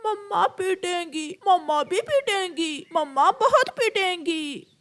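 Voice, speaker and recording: A woman's voice: very high voice, animated, slow pace, fairly bright tone, full character voice. A woman voicing a small young bird child in a cartoon, whiny, earnest and pleading. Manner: whiny, repeats words pleadingly.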